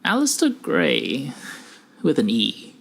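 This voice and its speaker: A man voicing a sinister executive in a suit, speaking deliberately with cool, polite menace. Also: mid-range voice, huge pitch swings, gravelly, a bit bright, breathy edge, exaggerated voice. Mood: coolly polite. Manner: slow, deliberate, coolly menacing.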